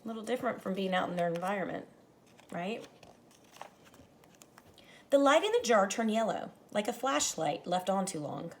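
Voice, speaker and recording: A woman teacher reading a picture book aloud to children, expressive and dramatic, speaking to them directly. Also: mid-range voice, normal speed, long pauses, a little hiss or hum. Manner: animated, dramatizes the story lines, addresses children directly. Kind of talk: expressive children's read-aloud with explanatory asides.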